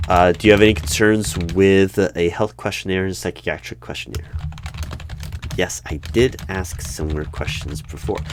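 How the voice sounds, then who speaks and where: A man in an ASMR roleplay as the stern hiring manager of a secret bureau, with deadpan questioning that breaks into sudden loud bursts and whispers. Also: sits low, a little hiss or hum. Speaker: interviewer in a roleplay.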